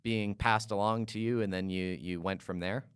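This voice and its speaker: Male interviewer, casual and hesitant; clear, resonant tone, close and dry sound. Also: fairly low pitch, steady tone, normal speed, no room sound. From Calgary, Canada. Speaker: interviewer, podcast host.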